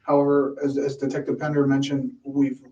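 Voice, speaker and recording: A male police detective questioning a suspect in a measured, explanatory way during an interrogation. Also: medium pitch, completely flat delivery, warm tone, dull audio. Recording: echoey interview room, distant mic.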